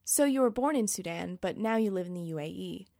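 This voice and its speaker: A woman's voice: mid-range voice, lively intonation, fairly quick, creaky, mellow, no room sound, clean studio sound. Woman interviewer asking polite questions. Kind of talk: radio interview.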